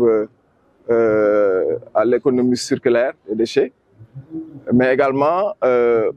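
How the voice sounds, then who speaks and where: A Senegalese man, a planning official, giving a halting, deliberate and formal explanation to the press. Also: medium pitch, a little mellow, dry recording. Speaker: regional development agency planning official.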